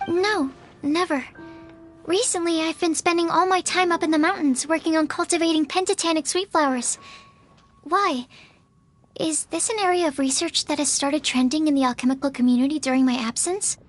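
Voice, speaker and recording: Woman as a very high-voiced, shy young alchemist girl. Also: normal speed, close to an everyday voice. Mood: earnest, matter-of-fact. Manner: timid, apologetic, hesitant. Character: shy, nervous young female alchemist's assistant, earnest and bookish. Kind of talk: video game character acting. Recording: music playing behind the voice.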